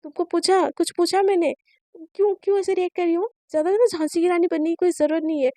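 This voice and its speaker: A woman voicing a hot-tempered young woman in a spoken story, scolding with sharp sarcasm. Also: extremely high pitch, steady tone, fast talker, no room sound, studio quality.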